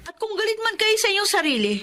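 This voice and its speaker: A woman's voice: extremely high pitch, very animated, fast talker, sharp tone, exaggerated voice. A woman playing a young orphaned girl in a Filipino melodrama, earnest, emotional and pleading. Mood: indignant. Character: distressed young orphaned girl standing up to a bully. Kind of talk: Filipino melodrama audio acting.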